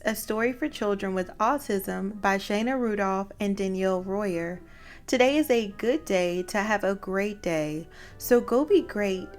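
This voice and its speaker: A woman narrating a children's read-aloud as host, with expressive storytelling. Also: mid-range voice. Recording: music behind the voice.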